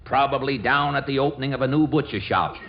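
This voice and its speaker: An actor playing a boastful, pompous windbag of a husband in 1940s radio comedy, speaking in a grandiose, blustering way. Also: male voice, medium pitch, fast talker, creaky, lightly acted. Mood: indignant bluster. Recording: muffled, noisy 1940s radio recording.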